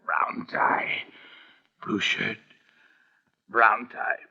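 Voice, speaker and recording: An actor playing a faltering, struggling informant in a 1940s radio crime drama. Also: male voice, on the low side, steady tone, extremely slow, sharp tone, in a whisper, exaggerated voice. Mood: alarmed, faltering. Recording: dull vintage 1940s radio recording.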